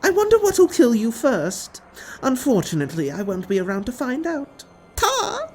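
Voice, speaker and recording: A man's voice: extremely high pitch, huge pitch swings, normal speed, a little hiss or hum, voice pushed up, full character voice. A man voicing a gleeful, gloating young alien villainess in an audio drama, with a taunting, mock-apologetic manner.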